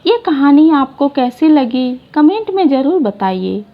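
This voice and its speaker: A woman narrating a short moral story in an unhurried, gentle reading style. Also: sits high, very animated, highs cut off.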